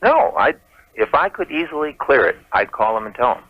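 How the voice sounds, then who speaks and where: A man's voice: mid-range voice, very animated, fairly quick, no echo. A man calling in to a radio show, talking casually. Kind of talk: casual phone-in conversation. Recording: dull lo-fi call-in radio tape.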